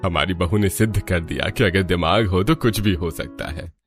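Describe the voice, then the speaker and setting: A man's voice: sits low, normal speed, soft background music, close to an everyday voice. A man playing a modest, good-natured older village father-in-law in a cartoon, speaking in a homely way. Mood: modest, approving. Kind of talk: Hindi cartoon character dialogue.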